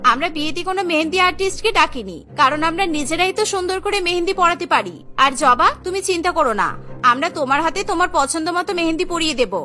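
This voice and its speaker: Woman voicing a very high, confident young bride. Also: animated, fast talker, pushed and bright, soft background music, full character voice. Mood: cheerful, assured. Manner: assertive, explaining. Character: confident, cheerful young twin sisters, headstrong brides.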